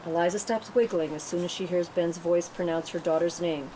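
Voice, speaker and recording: Woman narrator, slightly low pitch, scripted table-read narration, noticeable room noise. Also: steady tone, fairly quick, mellow. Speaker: narrator of screenplay directions. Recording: noisy room recording.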